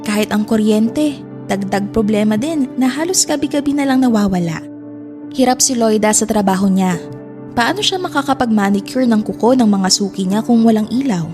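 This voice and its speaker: A woman's voice: on the high side, moderate pace. A woman reading a scripted first-person dramatic narration in a reflective, sorrowful way, with music underneath.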